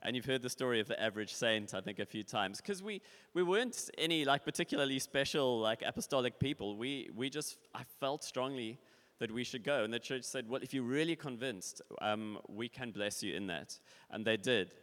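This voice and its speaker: A man in his forties from Africa, sharing his testimony at a church service in a relaxed, rambling way. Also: medium pitch, a little fast. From South Africa. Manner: casual, rambling, with fillers and laughs. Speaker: visiting speaker. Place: church hall. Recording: long hall reverb.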